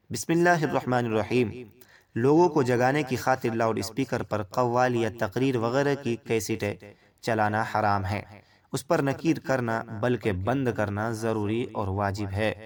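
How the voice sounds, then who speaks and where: A man presents religious rulings in a formal, scripted way, speaking directly to his viewers. His pitch sits in an ordinary male middle range. The voice is picked up at some distance from the microphone. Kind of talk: formal scripted explanation, reading rulings aloud.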